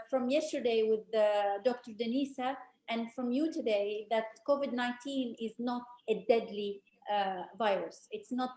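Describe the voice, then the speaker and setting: A woman moderates a panel in a somewhat high voice, talking in a chatty, rambling way full of fillers. Her voice carries a noticeable room echo. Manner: warm, chatty, many fillers.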